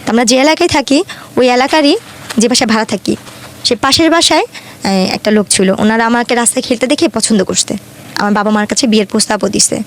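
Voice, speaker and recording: A woman's voice: on the high side, brisk, a little hiss or hum. A woman in her twenties from Dhaka with a Bangladeshi accent, telling her life story in an earnest, colloquial way as an interview guest. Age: 20s.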